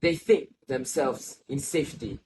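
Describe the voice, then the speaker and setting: A man playing a sorrowful, reflective old grandfather in a stage play, speaking in a slow, solemn, literary way. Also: medium pitch, lightly acted. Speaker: student actor. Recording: faint background noise.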